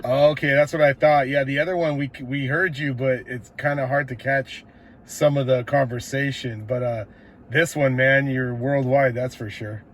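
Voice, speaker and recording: A man's voice: medium pitch, fairly even intonation, moderate pace. An American man working as a CB radio operator, making station calls and chatting casually in a laid-back, slangy way. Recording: clear background radio noise.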